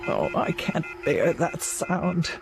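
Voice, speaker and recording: A woman's voice: lowish voice, sing-song, slow, gravelly, music in the background, exaggerated voice. A woman playing an elderly, solitary invalid in a radio drama, agitated, insistent and pleading. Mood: anxious.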